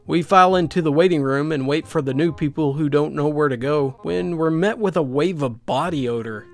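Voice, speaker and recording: A man narrating online stories in a lively, animated reading. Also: a little high, fairly quick, soft background music. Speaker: storyteller.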